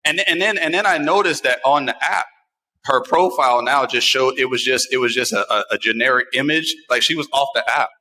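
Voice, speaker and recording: A bright, forceful American man in his forties talks in a long, reverberant room. He hosts a casual talk show and tells stories in an animated, repetitive way, leaning on phrases for emphasis. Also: medium pitch, fairly quick, a little hiss or hum. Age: 40s. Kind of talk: casual chat, personal storytelling.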